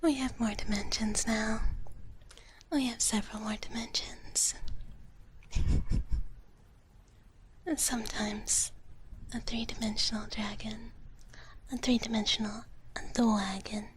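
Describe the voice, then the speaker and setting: Softly whispering woman, slow ASMR livestream. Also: fairly high pitch, flat delivery, slight background noise. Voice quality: soft, breathy whisper. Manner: playful, refers to self in third person. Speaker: livestream host, virtual streamer.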